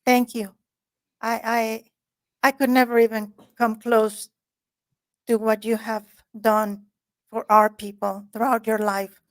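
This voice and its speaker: A woman's voice: fairly high pitch, little pitch movement, takes it slowly, pauses often. A woman hosting a talk show who introduces her guest in an effusive, heartfelt way. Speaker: host.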